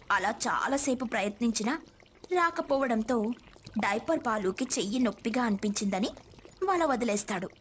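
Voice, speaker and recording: A woman's voice: on the high side, a little hiss or hum. A woman telling a scripted moral story as its narrator.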